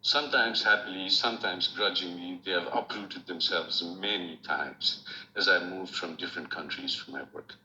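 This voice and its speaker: A middle-aged man with a Nepali accent, giving a measured, formal acceptance speech from a remote room. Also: low voice, pushed and bright. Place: room at home, recorded remotely. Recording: roomy, dull lo-fi with faint hiss.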